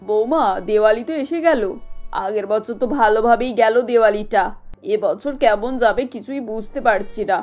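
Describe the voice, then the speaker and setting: A middle-aged cartoon mother-in-law voiced by a woman, anxious and tender with her family. The voice is somewhat high. The sound is dull and lo-fi, with quiet music underneath. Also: moderate pace, lightly acted. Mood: worried, rueful. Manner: fretful, affectionate. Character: worried, kindly middle-aged mother-in-law of a poor household.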